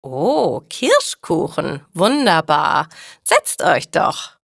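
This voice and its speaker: A woman voicing a kind but shrewd, plain-spoken elderly grandmother in a storybook read for language learners, with deliberate, matter-of-fact delivery. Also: low voice, huge pitch swings, gravelly, fairly bright tone, no room sound, studio quality, exaggerated voice. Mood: welcoming, delighted.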